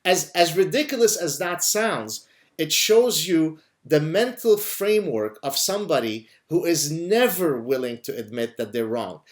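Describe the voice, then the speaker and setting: A man's voice: a little high, expressive. A man from Lebanon whose first language is Arabic, hosting a conversation and telling stories emphatically, often checking in with the listener. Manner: emphatic, speaks fast, often checks in with "right?". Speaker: host. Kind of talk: casual conversation, animated storytelling.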